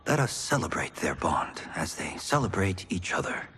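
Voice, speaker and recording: An actor playing an earnest, nervous young warrior in a video game, formal and a little stiff. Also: male voice, deep voice, sing-song, normal speed, a bit bright, a little hiss or hum. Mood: solemn. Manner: formal, sincere, slightly stiff. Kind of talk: dramatic video game cutscene acting. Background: music.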